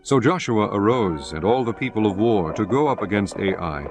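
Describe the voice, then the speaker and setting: A man narrating scripture in a measured, solemn, formal way. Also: lowish voice, lively intonation, over music.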